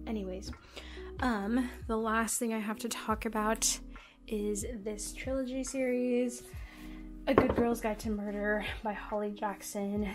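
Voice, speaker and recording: Chatty young woman, faint music behind. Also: a little high. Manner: chatty, with many ums and likes. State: voice going hoarse. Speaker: book and manga vlogger. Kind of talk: casual monthly reading wrap-up. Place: home, by a bookshelf.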